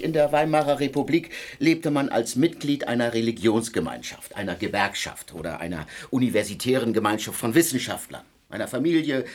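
An actor playing a legal theorist who lectures a circle of gentlemen, emphatic and insistent. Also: male voice, medium pitch, husky. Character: lecturing jurist, cold and insistent. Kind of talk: radio play acting.

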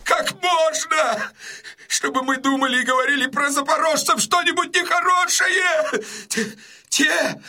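A wheedling, frightened merchant in an audio drama. His voice sits very high and forceful, drawn out slowly as he gushes and wails his pleas. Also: full character voice. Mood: pleading flattery. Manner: wailing, gushing, repeats himself in exclamations.

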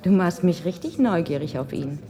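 An actress playing a curious, excitable new hostess in a radio play, asking questions in a conspiratorial way. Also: female voice, lowish voice, moderate pace. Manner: inquisitive, conspiratorial. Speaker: actor.

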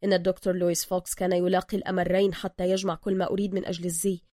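A woman's voice: fairly low pitch, level tone, racing, warm tone, dry recording, studio quality, natural voice. A woman voicing a stern, exacting male masked vigilante who speaks formal, bookish Arabic in an audio story. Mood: pleased, earnest.

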